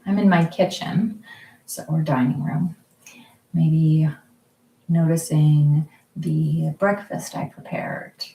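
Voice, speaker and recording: Calm woman, low and mellow, leading slow guided mindfulness at home, echoey kitchen. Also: creaky. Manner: slow, soft, calm guiding with frequent pauses. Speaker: mindfulness group leader. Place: kitchen or dining room at home.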